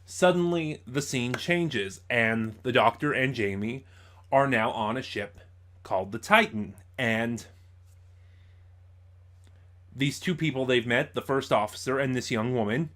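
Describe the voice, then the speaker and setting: A man giving an informal spoken review as host, in a halting way, stumbling over words and correcting himself. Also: medium pitch, lively intonation, fairly bright tone.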